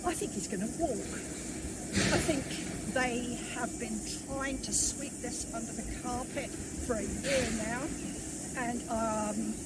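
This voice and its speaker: An older woman, answering a street interview in a blunt, wry way, outdoors. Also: fairly high pitch. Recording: loud outdoor traffic noise.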